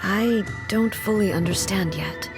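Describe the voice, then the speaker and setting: An actress playing a solemn, tender female ruler in a video game, speaking in a measured, grave, formal way. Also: mid-range voice, close to an everyday voice. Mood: pensive, subdued. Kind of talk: scripted video game voice acting. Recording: background music under the voice.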